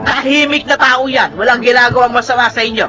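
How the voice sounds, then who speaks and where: A woman's voice: fairly high pitch, fairly even intonation, fairly quick. A woman acting as a stern, protective village captain in a Filipino radio drama, scolding with authority. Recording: loud music behind the dialogue.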